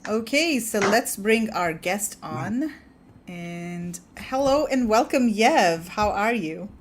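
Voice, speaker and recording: A woman hosting a webinar over a video call, upbeat and brisk as she announces and asks questions. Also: mid-range voice, lively intonation, a little hiss or hum. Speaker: webinar host.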